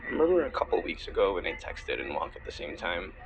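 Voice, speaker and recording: A man playing an affectionate, playful university student best friend in an intimate roleplay, talking softly and a little hesitantly in a husky voice. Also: low-pitched, huge pitch swings, fast, noisy background, full character voice. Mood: casual, playful. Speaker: audio roleplay voice actor. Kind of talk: intimate boyfriend ASMR roleplay.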